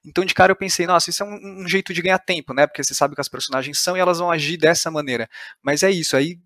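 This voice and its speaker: A man's voice: on the high side, rapid-fire, a bit bright, no echo, clean studio sound. A man with a Brazilian accent hosting a reflective podcast discussion.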